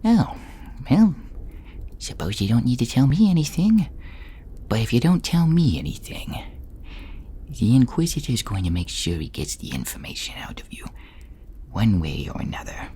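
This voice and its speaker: A man voicing a nervous, servile assistant to an inquisitor, hushed and hesitant, in a fantasy audio role-play. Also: mid-range voice, sing-song, moderate pace, long pauses, slight background noise, natural voice. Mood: warning. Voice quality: hushed, whispery. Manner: hesitant, deferential, wheedling.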